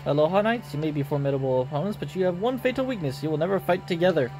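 A man's voice: fairly high pitch, lively intonation, very fast, a little mellow, faint music behind, close to an everyday voice, rough recording. A man voicing a sly older male game villain, courtly and smug. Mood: smug, scheming.

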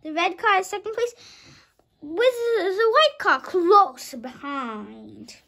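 High, bright-voiced young child reading aloud. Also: lively intonation, creaky. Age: young child, about five. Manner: halting, stumbles over and rereads words. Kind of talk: dramatic storybook read-aloud.

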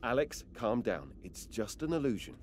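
A man voicing a calm, probing doctor in a horror game, measured, soothing and instructive as he guides a patient. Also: mid-range voice, a little hiss or hum, lightly acted.

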